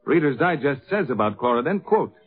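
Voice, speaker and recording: A man's voice: mid-range voice, very animated, a little fast, husky, faint music behind. A man announcing in the scripted, selling style of 1950s radio. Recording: tinny vintage 1950s radio broadcast.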